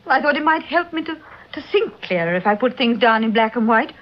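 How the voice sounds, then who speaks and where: Female actor as devoted detective's wife, RP English, highish pitch, genteel, curious, vintage muffled radio drama sound. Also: expressive, fairly quick, natural voice. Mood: anxious, inquisitive. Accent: English Received Pronunciation. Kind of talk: mid-century BBC radio drama acting.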